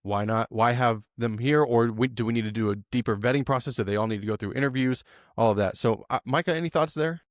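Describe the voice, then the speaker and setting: A man's voice: medium pitch, fast, no echo, clean studio sound. A man co-hosting a podcast, talking through the news casually with lots of fillers and tag questions. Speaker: podcast co-host.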